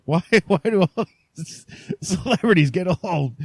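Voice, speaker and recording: A man hosting a podcast in a casual, rambling way, halting often and joking with mock sincerity. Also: sits high, expressive, gravelly, slightly rough, dry recording. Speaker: podcast host.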